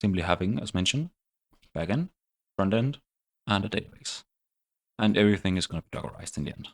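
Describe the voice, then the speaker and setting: A man hosting a programming channel, walking through his project in a relaxed, unscripted tutorial and thinking aloud with many fillers. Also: low-pitched, a little fast. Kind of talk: casual screen-recorded coding tutorial.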